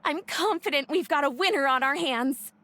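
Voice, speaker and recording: A woman's voice: high voice, moderate pace, noisy background, natural voice. A woman playing a spirited, self-assured young female film director in a video game, speaking with breezy theatrical confidence. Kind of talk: animated game voice acting.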